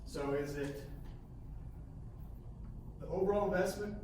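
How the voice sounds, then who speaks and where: Male sales trainer, slightly high voice, halting, repeats words, very echoey room, distant mic. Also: a little mellow. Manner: halting, repeats words, ends points with "okay?". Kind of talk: coaching a training session with role-play. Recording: echoey room, mic far off, faint hiss.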